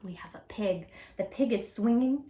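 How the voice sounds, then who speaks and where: A woman in her forties reading a picture book aloud at home, sing-song and animated, asking the listener questions. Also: mid-range voice, moderate pace, echoey room, slight background noise. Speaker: children's book reader. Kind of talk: read-aloud with tips for parents. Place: home room with posters.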